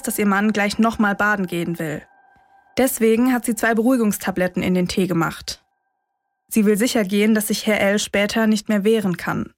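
A woman reading scripted true-crime narration as a podcast host. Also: mid-range voice.

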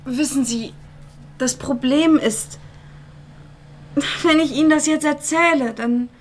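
A woman's voice: sits high, takes it slowly, a little hiss or hum. An actress playing a guarded, defiant young woman in a naturalistic crime drama, speaking with emotion. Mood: guarded, hesitant. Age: young adult.

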